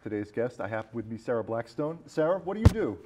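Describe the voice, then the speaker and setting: A man's voice: medium pitch, sing-song, quick pace, mellow. A man hosting a casual film chat show, talking with a guest. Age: adult past college. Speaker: host.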